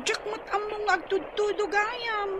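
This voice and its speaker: A woman's voice: very high voice, moderate pace, crowd noise. A woman acting a pert, grumbling daughter of a village household in an Ilocano radio comedy-drama, with an Ilocano accent.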